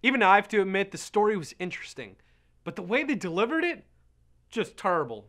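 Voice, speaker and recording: A man's voice: high-pitched, sing-song, quick pace, a bit bright. A man narrating a game review in a playful, sarcastic way.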